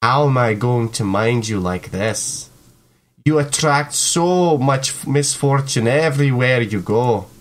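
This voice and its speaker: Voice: medium pitch, lively intonation, a little slow, voice pushed down, natural voice. A performer voicing a domineering, possessive mother who belittles her child in a visual novel. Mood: reproachful, possessive.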